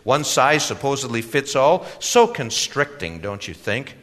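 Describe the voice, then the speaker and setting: A man voicing an oily, condescending clothing salesman with a lisping, drawn-out, affected delivery in a storybook tale. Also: mid-range voice, very animated, fairly bright tone, pitched above the performer's own voice. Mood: smooth, coaxing. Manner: drawn-out, affected, mock-helpful. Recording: reverberant hall.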